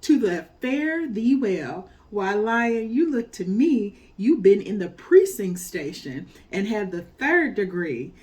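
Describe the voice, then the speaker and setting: A woman voicing a cheeky, boastful male monkey who taunts in a high, sassy voice. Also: big pitch swings, slow, few pauses, a little mellow. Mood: taunting.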